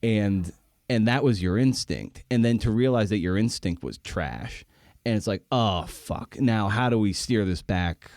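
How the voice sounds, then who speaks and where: Low-voiced man, rambling podcast host. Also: a little mellow, dry recording, studio quality. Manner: self-deprecating, rambling with repeated false starts. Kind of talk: casual, candid podcast talk.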